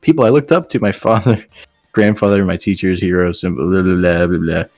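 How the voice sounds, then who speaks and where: A man with a lowish voice reads aloud and keeps breaking off to joke about it. His delivery is casual and sarcastic, like commentary among friends. The sound is dry and close, with faint music behind him. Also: fairly quick, darkish tone, no room sound. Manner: joking, sarcastic, breaks off reading to riff. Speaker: host reading and mocking fan fiction. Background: faint background music.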